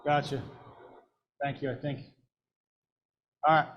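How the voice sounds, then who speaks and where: A man's voice: mid-range voice, little pitch movement, slow, dull audio. A man, a police sergeant from New Jersey, giving a plain-spoken presentation into a microphone at a public meeting, checking in with "okay?". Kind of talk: public presentation to a school board. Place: meeting room. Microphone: handheld microphone.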